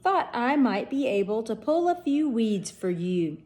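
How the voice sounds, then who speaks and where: A woman's voice: on the high side, sing-song, unhurried, a little mellow. A woman voicing a sly, lazy male cartoon rabbit with a cocky drawl in a read-aloud storybook. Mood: smug.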